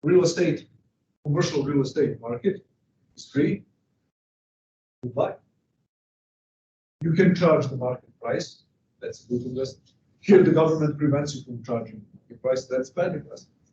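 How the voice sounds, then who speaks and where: A man's voice: mid-range voice, normal speed, long pauses, mellow, slight background noise. A man from Serbia, giving an economics lecture in an emphatic way and posing rhetorical questions to the class. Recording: distant, echoey, dull classroom recording.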